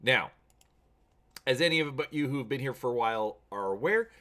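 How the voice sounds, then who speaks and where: A man's voice: mid-range voice, animated, normal speed, pushed and bright. A man hosting a channel, talking casually to viewers and reading an adventure story aloud with drama.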